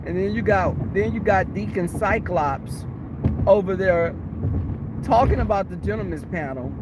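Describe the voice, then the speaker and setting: A woman vlogging in a car, talking in a blunt, sassy, opinionated way with a voice that is going hoarse. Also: mid-range voice, expressive, measured pace. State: losing her voice. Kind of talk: casual chat. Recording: noisy car interior.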